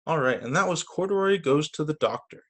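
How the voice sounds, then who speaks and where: Man, story time teacher, fairly high voice, animated sing-song delivery, reading picture books to children, short asides. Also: a little fast. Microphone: video call.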